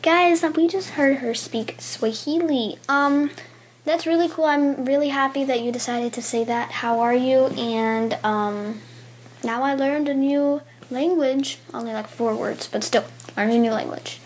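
A woman's voice: sits high, a little slow, slight background noise. A young woman hosting her own casual podcast, chatty and eager, interviewing her grandmother with many ums. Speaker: podcast host.

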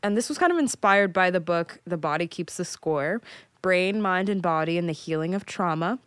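A woman's voice: lowish voice, lively intonation, moderate pace, clear, resonant tone, no echo. A young woman in her twenties, producing and co-hosting a podcast, upbeat and chatty with lots of fillers. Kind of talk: casual podcast chat.

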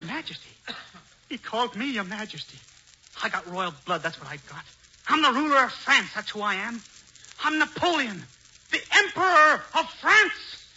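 An actor playing a naive, eager, gullible fellow with an earnest, boyish manner in a 1940s radio sitcom. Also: male voice, very high voice, sing-song, normal speed, bright, forceful tone, full character voice. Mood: giddy, boastful. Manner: earnest, boyish, easily impressed. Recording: noisy, muffled 1940s radio recording.

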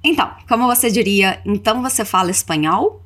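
Lively Brazilian woman teaching. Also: mid-range voice. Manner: instructive, enunciates example phrases slowly and deliberately. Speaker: English teacher hosting a podcast lesson. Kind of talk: scripted language lesson with repeat-after-me drills.

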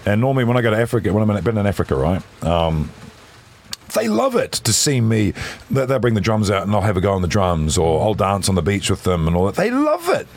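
A middle-aged man with a deep voice, chatting casually on a podcast and swinging between high, animated bursts and very low tones. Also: quick pace. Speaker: podcast guest.

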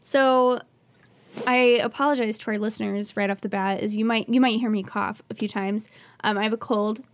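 A woman's voice: mid-range voice, fairly even intonation, normal speed, dry recording. A woman co-hosting a podcast in casual, friendly chat with fillers and easy laughter, her voice carrying a slight cough after the flu. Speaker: podcast co-host.

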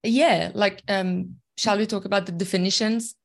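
A woman's voice: mid-range voice, steady tone, normal speed, creaky. Farsi-speaking woman explaining earnestly. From Iran. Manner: earnest, explaining from experience, many fillers. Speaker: guest, tech team leader. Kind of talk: interview conversation.

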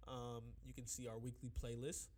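A man's voice: mid-range voice, monotone, a little mellow. A young man hosting a hip-hop podcast in casual, slangy chat, a bit sick and sniffly. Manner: laid-back slangy talk, quick and loose. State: under the weather, sniffly. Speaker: podcast host.

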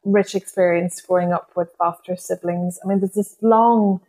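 Irish woman, native Irish speaker, poet guest; reflective, halting delivery with frequent restarts. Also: on the low side, steady tone, darkish tone. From Ireland. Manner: thoughtful, hesitant, many restarts and fillers. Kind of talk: reflective interview conversation.

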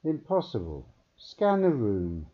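A man voicing a younger alien scientist, calm and matter-of-fact, on a muffled recording. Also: mid-range voice, slow pace, voice pushed up, full character voice. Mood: dismissive. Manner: quietly assured, matter-of-fact. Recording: dull, muffled narrow-band recording.

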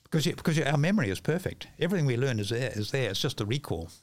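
An older man chats as a podcast guest, halting and often repeating his words. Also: mid-range voice, very animated, no echo. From New Zealand. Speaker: podcast guest, magician and author. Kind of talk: casual conversation.

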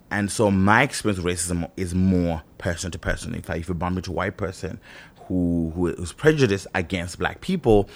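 A man who grew up in Zimbabwe, talking thoughtfully in a casual monologue, pausing and restarting often with "you know". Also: low voice.

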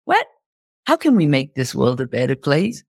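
A woman's voice: extremely low pitch, huge pitch swings, normal speed, darkish tone, breathy edge, dry recording, studio quality. A woman speaking as an interview guest, explaining in an impassioned motivational style and repeating phrases for emphasis.

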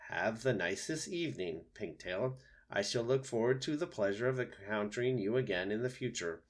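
A man's voice: on the low side, expressive. Man as a stilted, polite female robot. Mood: polite, calm. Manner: stilted, measured, overly formal. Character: polite, gentle female robot, formal and naive. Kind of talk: storybook read-aloud voice.

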